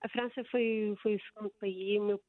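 An older woman from Portugal with a European Portuguese accent, calling in to a show and telling her story in a hesitant, halting, emotional way. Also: medium pitch, fairly even intonation, no echo. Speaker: caller. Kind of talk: phone-in chat. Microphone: phone line. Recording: tinny phone line.

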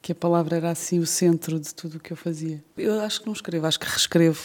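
A woman's voice: on the low side, quick pace, darkish tone. A woman, a guest writer talking reflectively and playfully, often laughing, on a stage before an audience. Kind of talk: reflective conversation.

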